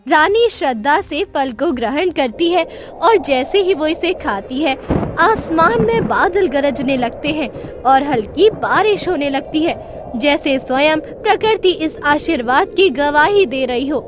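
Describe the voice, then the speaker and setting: A woman's voice: sits high, animated, a little slow, background noise. A woman narrating a children's tale as storyteller, with dramatic scripted delivery.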